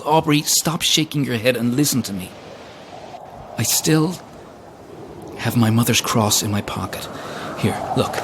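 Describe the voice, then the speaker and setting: An actor playing an earnest, protective, devout husband in an audio drama, urgent and pleading in an intimate way. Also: male voice, mid-range voice, background noise, lightly acted. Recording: clear ambient sound behind voice.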